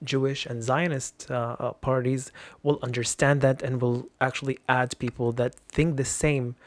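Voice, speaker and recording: A man's voice: medium pitch, level tone, moderate pace, no room sound. Hesitant man from Lebanon, dry sound. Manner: hesitant, many fillers. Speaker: guest. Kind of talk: casual interview talk.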